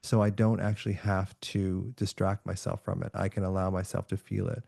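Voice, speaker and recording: A man from Canada, a podcast guest and author, speaking calmly and reflectively, with a soothing, soft-spoken manner. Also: low-pitched, fairly quick, creaky, slightly rough, no room sound, clean studio sound. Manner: calm, reflective, soft-spoken with frequent restarts. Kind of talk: relaxed remote podcast interview.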